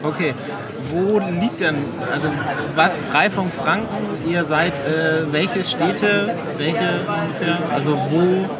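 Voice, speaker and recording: A man hosting a casual interview, asking questions in a halting way with restarts and filler sounds. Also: high voice. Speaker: interviewer host. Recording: loud crowd chatter behind.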